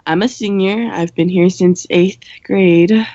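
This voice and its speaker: A young woman playing a dry, cynical high school senior girl who co-hosts a campus radio show in an amateur radio play. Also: steady tone, a little slow, mellow, no echo, highs cut off, lightly acted.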